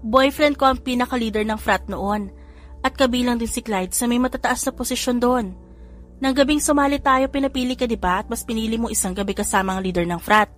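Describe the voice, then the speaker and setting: A woman voicing an excitable, gossipy young woman friend who talks in gushing exclamations. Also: a little high, fairly quick, faint music behind, close to an everyday voice. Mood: confiding.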